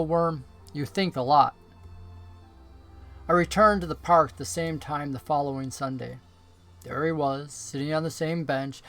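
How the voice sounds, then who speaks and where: Male storyteller, slightly high register, expressive scripted holiday narration, music underneath. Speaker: story reader, pastor.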